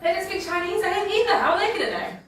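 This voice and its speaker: A woman's voice: very high-pitched, expressive, racing. A woman playing a breezy, glib casting agent with an offhand, casually ignorant manner in a film scene. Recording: echoey room, clear background noise.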